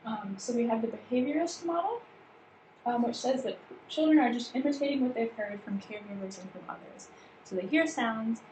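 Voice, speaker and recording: A woman leading a staff training session, explaining ideas at length with frequent fillers and restarts. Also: mid-range voice. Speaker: workshop trainer. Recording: roomy with background noise.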